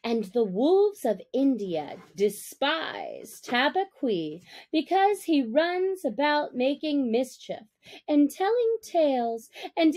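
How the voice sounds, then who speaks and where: A woman narrating a classic story as an audiobook reader, with deliberate, expressive phrasing. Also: high-pitched.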